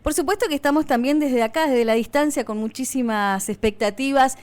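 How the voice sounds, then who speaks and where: A woman's voice: sits high, a little fast, a little mellow. Woman, Argentine accent, radio host, talkative, stumbling over words, studio mic. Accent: Argentine Spanish. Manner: chatty, many fillers and restarts. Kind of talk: radio interview.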